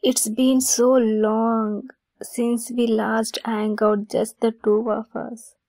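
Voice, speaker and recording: A woman voicing an affectionate, playful young female best friend secretly in love, in a soft, halting ASMR roleplay. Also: fairly high pitch, level tone, dry recording. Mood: shy, affectionate. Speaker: audio roleplay voice actress.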